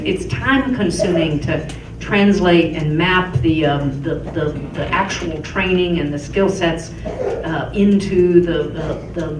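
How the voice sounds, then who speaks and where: A middle-aged woman giving unscripted campaign remarks at a town hall, stumbling through many fillers and restarts. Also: fairly low pitch. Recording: echoey room, distant mic, noisy.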